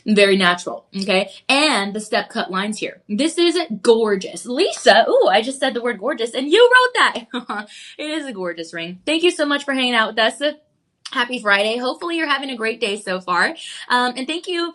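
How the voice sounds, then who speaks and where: Woman, shopping channel host, slightly high voice, congested, chatty live sales pitch, a cough now and then. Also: big pitch swings, moderate pace, a bit bright. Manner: chatty, upbeat, reads viewer comments aloud.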